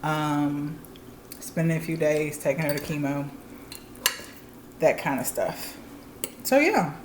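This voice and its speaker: A woman's voice: deep voice, slow pace, echoey room, background noise. A woman in her thirties chatting candidly and explaining things plainly to viewers as a channel co-host. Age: 30s. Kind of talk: casual chat.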